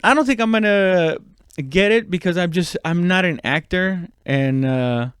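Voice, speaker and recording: A man's voice: high voice, moderate pace, a bit bright, smooth, no echo, clean studio sound. A man giving a casual, rambling comedic monologue, self-deprecating and swearing freely. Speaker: comedian and podcast host.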